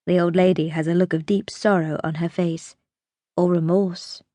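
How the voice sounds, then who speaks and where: A woman reading an audiobook as narrator, telling the story expressively in a measured, dramatic way. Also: on the low side, level tone, warm tone, no echo.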